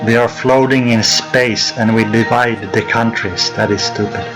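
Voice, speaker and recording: A young man from Sweden, co-hosting a casual livestream as a game developer and making dry, deadpan jokes. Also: lowish voice, measured pace, music in the background.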